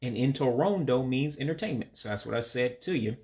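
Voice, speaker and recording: An American man, a native English speaker, giving a casual video language lesson and slowly repeating words for pronunciation. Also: mid-range voice, lively intonation, a little mellow. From United States. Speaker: language learner teaching vocabulary.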